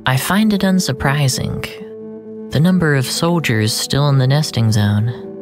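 A man narrating first-person fiction in a deliberate, brooding, dramatic way, drawing out his phrases. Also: medium pitch, animated. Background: music.

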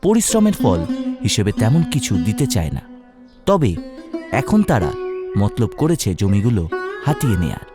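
Animated male cartoon storyteller over music. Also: mid-range voice, normal speed, pauses often. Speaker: narrator. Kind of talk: dramatic storytelling narration for a cartoon.

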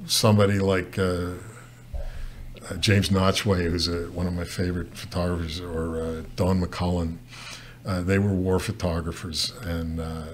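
A man's voice: sits low, slight background noise. A man in his sixties from Canada, a photojournalist guest talking casually at a studio desk mic, rambling with many restarts and fillers. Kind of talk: casual interview talk. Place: studio set with brick wall. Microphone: desk mic on stand.

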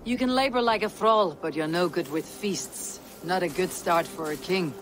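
An actor playing a steely Viking warrior in a video game, with a blunt, dry, confident manner. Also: male voice, high voice, normal speed, fairly bright tone. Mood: firm counsel. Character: steely, taunting Viking warrior, protective of clan. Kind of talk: video game cutscene acting. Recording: music behind the voice.

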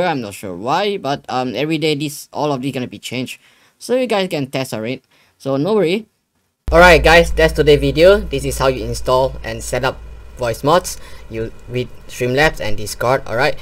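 Halting young man giving a casual tutorial. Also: mid-range voice. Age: young adult. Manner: repetitive, halting explanations with non-native English phrasing. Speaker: tutorial host. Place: living room gaming setup at home.

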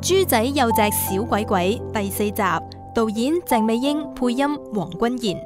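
A woman with a fairly high voice reads scripted drama credits as an announcer. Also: expressive, normal speed. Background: clear background music.

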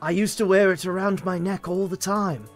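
A man playing a flippant, confused pirate-film character in an improvised comedy fandub, halting with dry asides. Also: high-pitched, fairly even intonation, faint music behind. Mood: confused, wry.

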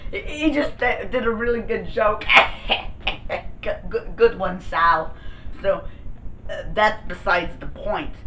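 A man's voice: high-pitched, bright, forceful tone, a little hiss or hum, exaggerated voice. A teenage boy playing a silly, pompous celebrity chef with a put-on fake accent, giggling at his own jokes. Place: bedroom with gaming desk. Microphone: far camera. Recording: echoey room from far off.

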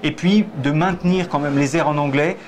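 A man's voice: fairly high pitch, fairly quick, slight background noise. A man in his forties, a stage director, answering interview questions thoughtfully with many restarts, seated in a theatre auditorium on a lapel mic. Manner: hesitant, many repeated starts, gestures while talking. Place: theatre auditorium, in a box seat. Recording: busy crowd chatter behind. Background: crowd chatter.

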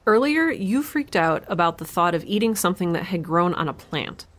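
A woman's voice: lowish voice, sing-song, normal speed. A young woman giving a wry, chatty scripted monologue as the lead of an audio drama. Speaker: solo audio drama narrator and protagonist.

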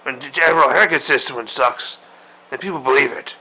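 A man voicing a crude, grumpy old male admiral who rants and swears in a gravelly voice. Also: medium pitch, moderate pace, bright, forceful tone, rough recording. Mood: ranting. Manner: profane, griping rant. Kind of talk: comedic parody monologue.

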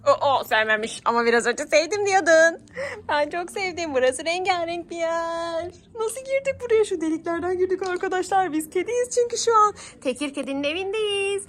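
Very high-pitched woman, coaxing and exclamatory. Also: lively intonation, moderate pace. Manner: exclamatory, coaxing, asking many questions. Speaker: mother hosting a children's play vlog. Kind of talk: playful vlog narration to children and viewers. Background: music.

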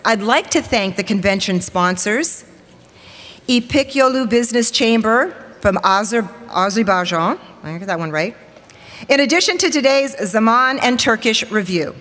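A woman's voice: on the high side, expressive, moderate pace, fairly bright tone. A woman in her forties, a TV weather reporter, giving an upbeat formal welcome speech from a lectern on stage and rallying the audience. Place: large hall, at a lectern on stage. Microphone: lectern microphone. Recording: long hall reverb.

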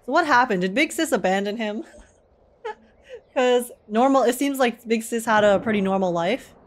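A woman's voice: a little high, expressive, normal speed. A woman streamer giving casual, joking live commentary as she reacts to a video game. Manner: wry, joking.

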